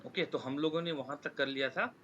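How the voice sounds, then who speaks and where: Bright-voiced Indian man teaching, noisy background. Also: a little high, brisk, highs cut off. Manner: repeats and rephrases points, checks understanding with tag questions; mixes English technical terms into speech. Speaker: online course instructor. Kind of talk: live lesson explaining with examples. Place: online class with screen sharing.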